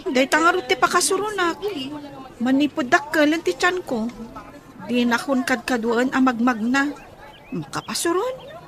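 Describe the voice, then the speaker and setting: A resentful young mother-to-be, played by a woman in a radio drama. Her voice is high, set against a clearly audible market crowd. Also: normal speed, pauses often, natural voice. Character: resentful young pregnant woman, let down by partner. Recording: busy crowd sounds behind.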